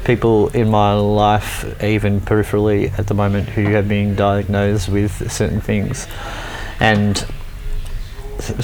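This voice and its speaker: A man's voice: on the low side, darkish tone, slight background noise, rough recording. A man in casual conversation who rambles through opinions, stumbling and restarting often. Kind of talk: casual chat.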